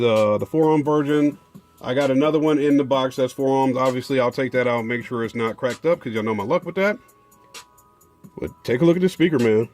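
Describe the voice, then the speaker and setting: A man's voice: medium pitch, a little mellow. A man, chatting casually as he unboxes car audio gear, rambling with lots of fillers and restarts.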